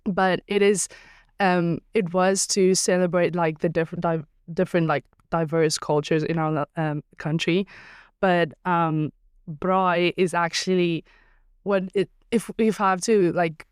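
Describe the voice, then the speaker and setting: A woman's voice: fairly low pitch, fairly even intonation, a little slow, smooth, dry recording, clean studio sound. A young woman from South Africa, a native Afrikaans speaker with a South African accent, guesting on a podcast and explaining things with many ums and likes. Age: young adult. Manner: hesitant, many ums and likes, laughs easily. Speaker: guest athlete. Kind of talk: casual podcast chat.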